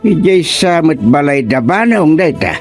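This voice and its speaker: A man's voice: a little high, sing-song, unhurried, faint music behind. A man with an Ilocano accent playing a village husband who handles neighbours' complaints in a radio comedy drama. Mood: mildly concerned.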